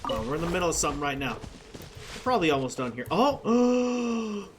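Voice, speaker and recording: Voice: medium pitch, animated, moderate pace. A gamer giving casual, slangy commentary while playing, exclaiming and muttering reactions. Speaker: video game streamer reacting to gameplay. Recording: clearly noisy background.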